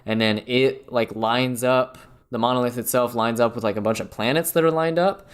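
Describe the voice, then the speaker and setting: A man's voice: mid-range voice, normal speed, smooth. A man co-hosting a podcast, eagerly retelling a film scene by scene in casual chat. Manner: animated retelling, many "like" fillers. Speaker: podcast co-host.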